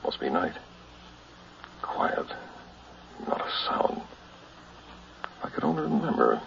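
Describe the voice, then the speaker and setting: Creaky, wildly swooping trapped, frightened man. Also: extremely low pitch, very animated, heavily acted. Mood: groggy, puzzled. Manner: halting, breathy muttering breaking into desperate cries. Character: frightened man buried alive in a coffin. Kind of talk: 1960s radio horror monologue acting. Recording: dull, hissy vintage radio recording.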